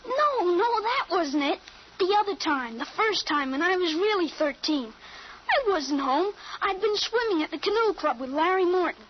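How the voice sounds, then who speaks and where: A woman's voice: very high voice, animated, normal speed. An actress playing an earnest, assured thirteen-year-old boy with a grown soldier's mind in an old-time radio drama. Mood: urgent, grave. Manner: assured, insistent, explanatory. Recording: muffled, noisy old radio recording.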